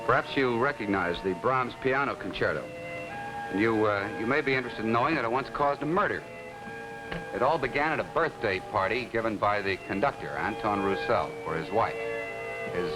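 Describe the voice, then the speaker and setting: A man's voice: fairly low pitch, normal speed, close to an everyday voice, low-quality recording. An American actor playing a suave, courteous detective who narrates his case in a 1950s television mystery. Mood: suave, intriguing. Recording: vintage TV soundtrack under loud music.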